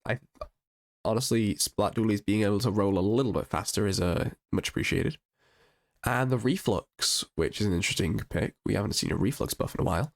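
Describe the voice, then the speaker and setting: A man with a croaky voice from being a little sick, casually reviewing game patch notes with upbeat enthusiasm and frequent restarts. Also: on the low side, fairly quick. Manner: chatty and enthusiastic, with ums and restarts. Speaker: gaming channel host.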